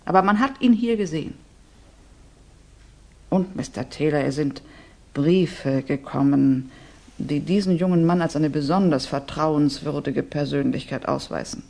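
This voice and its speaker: Imperious, creaky-voiced mother. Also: sits low, darkish tone, full character voice. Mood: grave. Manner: insistent, measured, imperious. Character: resolute, commanding Russian mother, grieving lady of standing. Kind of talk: 1960s German radio drama acting.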